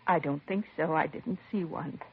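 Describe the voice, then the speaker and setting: A woman's voice: low-pitched, normal speed, slight background noise. An actress playing a composed middle-aged mother of a slain son in a 1950s radio crime drama, answering plainly and with restraint. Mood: guarded, composed. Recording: vintage 1950s radio, narrow husky sound.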